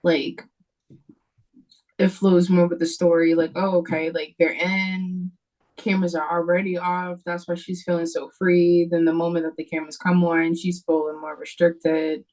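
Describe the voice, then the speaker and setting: A woman's voice: fairly low pitch, flat delivery, moderate pace, a little mellow, poor audio. A woman giving casual feedback on a script over a video call, speaking in loose, informal, slangy phrasing. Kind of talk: casual chat.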